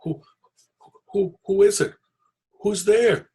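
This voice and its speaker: Guy doing a pleading trickster fella from a storybook, voice jumps way up, kinda husky. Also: high-pitched, takes it slowly, voice pushed up, exaggerated voice. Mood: frightened. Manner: animated, pleading. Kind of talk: storybook read-aloud character.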